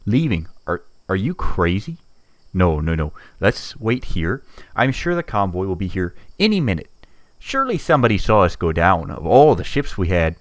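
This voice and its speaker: Wry, animated spaceship engineer. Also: male voice, deep voice, moderate pace, dry recording. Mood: alarmed. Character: male ship engineer, wry, casual, jittery mercenary. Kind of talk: sci-fi audio drama acting.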